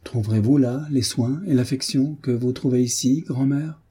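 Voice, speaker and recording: A devoted young granddaughter as read by a man, hurt and earnestly pleading. The voice is very dark and husky, asking its questions in the manner of a storybook dialogue. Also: medium pitch, moderate pace, pitched above the performer's own voice, lightly acted. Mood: reproachful. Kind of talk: audiobook character voice.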